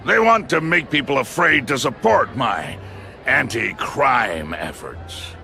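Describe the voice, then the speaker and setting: An actor playing a powerful, arrogant tycoon in a video game, speaking with pompous, formal diction and a gravelly edge. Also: male voice, mid-range voice, lively intonation, slow, pushed and bright, soft background music, heavily acted. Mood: smug, genial. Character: powerful, arrogant male tycoon, smooth but quick to anger. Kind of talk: video game cutscene acting.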